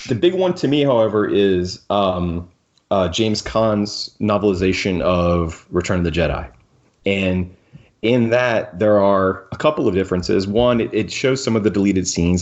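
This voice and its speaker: A man's voice: deep voice, darkish tone, highs cut off. A man hosting a podcast in casual discussion, often repeating and restarting his words. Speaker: podcast host.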